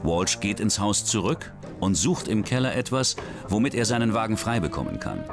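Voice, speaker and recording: A man reading scripted true-crime documentary narration in a measured, dramatic way. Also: lowish voice, very animated, gravelly. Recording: studio voice-over with music behind.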